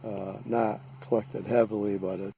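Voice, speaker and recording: A man giving a halting, deliberate, matter-of-fact informal field narration outdoors, recorded on a handheld device. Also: lowish voice, flat delivery, vocal fry, dark tone, breathy edge, low-quality recording. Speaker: lake resident surveying an algae bloom. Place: outdoors, out on a small lake. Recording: faint outdoor background noise.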